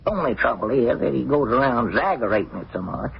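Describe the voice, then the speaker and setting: Raspy, excitable rural Southern storekeeper. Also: male voice, medium pitch, fast talker, full character voice. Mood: mildly exasperated. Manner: folksy, rambling, rustic grammar. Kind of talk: 1940s radio comedy acting. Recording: dull, muffled 1940s radio recording.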